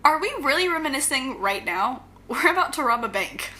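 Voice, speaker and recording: A breezy young female crook played by an actress, teasing and flippant. Her voice is a little high and swings in pitch with playful ease. It is a naturalistic audio drama with slight noise behind. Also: sing-song, a little fast, pushed and bright, exaggerated voice. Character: breezy, teasing young bank-robbing shapeshifter villain.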